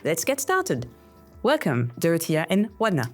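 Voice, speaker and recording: Upbeat young host from Mauritius. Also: female voice, on the low side, huge pitch swings, unhurried, soft background music. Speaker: podcast host. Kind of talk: scripted intro, then interview questions.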